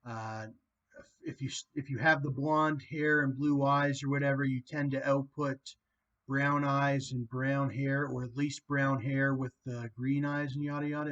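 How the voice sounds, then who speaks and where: A man's voice: medium pitch, fairly even intonation, normal speed, a bit bright, highs cut off. A man from Canada hosting a casual phone chat, rambling with lots of fillers. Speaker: host calling a guest.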